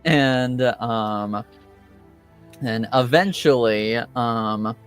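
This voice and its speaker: A man runs a tabletop roleplaying game as game master. His voice is clear and smooth at a medium pitch, and he narrates slowly and haltingly over faint music. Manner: halting, deliberate, wordy.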